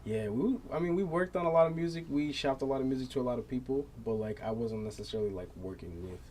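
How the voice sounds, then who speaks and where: A man's voice: medium pitch, quick pace, a little mellow, slight background noise. A young man from Massachusetts, a guest singer chatting casually in a laid-back way with lots of filler words. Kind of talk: casual chat.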